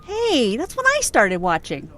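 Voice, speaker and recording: A woman's voice: fairly high pitch, wildly swooping pitch, a little slow, bright, forceful tone, exaggerated voice. A woman playing a snarky, defensive friend with a dry, wry manner in an audio drama. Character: snarky, defensive female friend, grudging fan.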